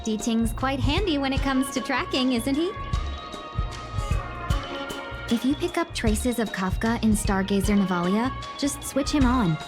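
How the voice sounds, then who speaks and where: A woman's voice: fairly high pitch, moderate pace, music in the background, lightly acted. A woman voicing a sly, playful, genteel young businesswoman guide in a video game, coy and polished in manner.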